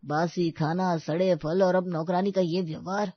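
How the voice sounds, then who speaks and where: A woman voicing a gentle, long-suffering old grandfather in a storybook tale, mild and reassuring. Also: on the low side, steady tone, moderate pace, husky, no echo, highs cut off. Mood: resigned, resolute. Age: older.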